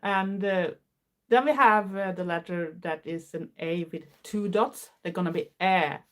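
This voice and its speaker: A woman's voice: fairly low pitch, unhurried, a bit bright. Swedish woman chatting earnestly in English. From Sweden. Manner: chatty, earnest, with small grammar slips. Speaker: livestream host. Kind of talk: casual chat.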